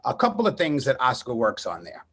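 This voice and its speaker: A man's voice: medium pitch, huge pitch swings, fairly quick, a bit bright. A man hosting a radio interview, talking in an emphatic, effusive and digressive conversational way. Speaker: radio show host. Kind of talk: conversational interview and guest introduction. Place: radio studio.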